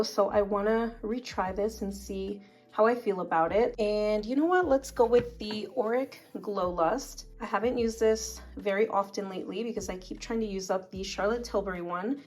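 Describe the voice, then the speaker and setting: A woman with a hoarse voice from a cold, chatting casually as she picks makeup for a beauty vlog. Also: medium pitch. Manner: chatty, relaxed, picking products aloud. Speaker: beauty vlogger.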